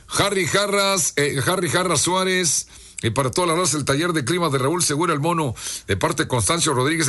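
Hoarse radio guy, chummy shout-outs, bright tense voice, sits a bit high. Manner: chummy, reads listener messages aloud. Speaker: radio host.

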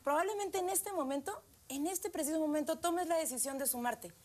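Woman host, pretty high voice, keeps urging people to donate. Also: brisk, a little mellow. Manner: earnest, urging viewers to donate. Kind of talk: live telethon appeal.

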